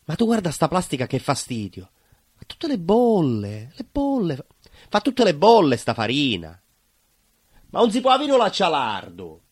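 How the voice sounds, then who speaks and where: A male actor with a southern Italian accent playing a troubled, proud working-class baker in a confessional theatre monologue, halting and repetitive in his telling. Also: fairly high pitch, wildly swooping pitch, slightly rough, no echo, clean studio sound, exaggerated voice. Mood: irritated. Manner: halting, repetitive, colloquial confiding storytelling. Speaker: stage actor performing a monologue.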